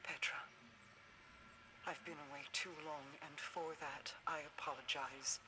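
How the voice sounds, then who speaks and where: Man acting a slow, solemn regal ruler. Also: on the high side, fairly even intonation, background noise, lightly acted. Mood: solemn, remorseful. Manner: formal, deliberate, stately. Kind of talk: scripted video game cutscene monologue.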